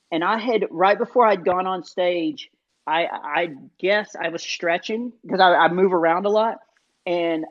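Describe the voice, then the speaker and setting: A man, a comedian guest in an interview, telling self-deprecating stories in an animated, casual way with many fillers. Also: high-pitched. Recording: dull lo-fi sound.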